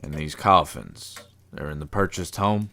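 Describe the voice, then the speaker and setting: A man's voice: extremely low pitch, measured pace, pushed and bright, exaggerated voice. A man playing a plain-spoken, brave vampire hunter in an audio drama, with a folksy, wry manner. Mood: resolute.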